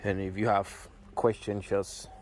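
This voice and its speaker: A young man from Puerto Rico with a Puerto Rican Spanish accent, a native Spanish speaker, walking around his pickup truck outdoors and explaining it in a casual, halting way, often searching for words and restarting. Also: on the low side, level tone, darkish tone. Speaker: car owner showing his truck.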